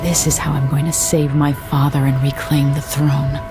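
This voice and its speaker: An actress playing a grave, determined young deposed empress in a video game, speaking in a hushed, wondering way. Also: female voice, low-pitched, little pitch movement, normal speed. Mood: awed, determined. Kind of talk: video game cutscene voice acting. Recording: loud music behind the voice.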